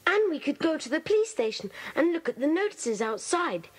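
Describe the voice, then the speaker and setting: Children's drama role: eager kid, high-pitched, animated. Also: female voice, fairly quick. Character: keen, excitable young child club member. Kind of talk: children's audio drama acting.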